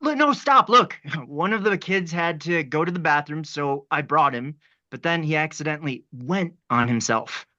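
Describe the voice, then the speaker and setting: An actor as an earnest young man working as a substitute teacher. His voice is bright and a little tense, with a hesitant, awkward delivery. The recording sounds somewhat dull and lo-fi. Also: a little high, expressive, moderate pace. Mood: flustered. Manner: hesitant, flustered. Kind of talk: script table read.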